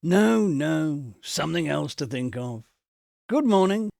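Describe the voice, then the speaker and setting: A man's voice: on the high side, lively intonation, slow, vocal fry, a little mellow, no room sound, studio quality, exaggerated voice. A man voicing a second businessman who answers tersely and dismissively in an audiobook. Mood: curt. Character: wealthy male businessman, curt and guarded.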